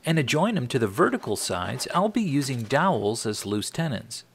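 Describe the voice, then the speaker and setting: Middle-aged american guy doing wry how-to narration, really animated pitch, noisy clicks behind him. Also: normal speed. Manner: wry, tongue-in-cheek. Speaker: host of a woodworking channel. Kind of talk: scripted tutorial narration.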